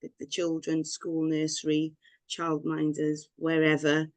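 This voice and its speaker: A woman's voice: low voice, monotone, unhurried, no room sound. Woman, rambling candid storyteller, dry close sound. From Wales. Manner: rambling, personal, laughs often. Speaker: guest. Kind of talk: candid personal story in interview.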